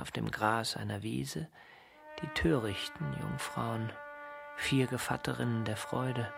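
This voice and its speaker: A man playing a brooding, solitary writer in a radio drama, speaking in theatrical literary monologue with a gravelly voice. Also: fairly low pitch. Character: Dürtal, brooding solitary bachelor writer absorbed in research. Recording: loud guitar music behind.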